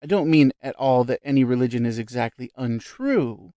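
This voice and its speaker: A man voicing a vivacious, shrill middle-aged society woman who gushes about spirits, raised high and loud above his own voice. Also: expressive, normal speed, no echo, lightly acted. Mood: confiding.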